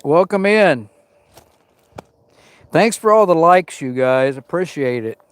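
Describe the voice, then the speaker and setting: A man's voice: on the high side, animated, takes it slowly, clear, resonant tone. Chatty man livestreaming from the cold woods. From Arizona. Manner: rambling, chatty, greets viewers by name. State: sniffling from a cold nose. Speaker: camping livestream host. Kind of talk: casual livestream chat greeting viewers. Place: outdoors in cold woods by a stove. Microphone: small mic worn on him.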